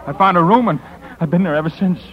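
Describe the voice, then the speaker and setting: Man acting a high-pitched, stammering cornered weasel. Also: fast, lightly acted. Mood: panicked, pleading. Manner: stammering, hesitant. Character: nervous, evasive, cornered man under interrogation. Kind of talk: 1950s radio drama acting. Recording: dull vintage 1950s radio recording.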